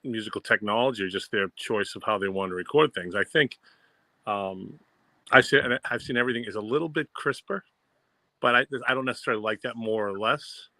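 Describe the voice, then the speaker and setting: A man's voice: lowish voice, fairly quick, pushed and bright, no room sound. A man with a Boston accent chatting as co-host, enthusiastic and rambling with fillers. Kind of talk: casual fan discussion.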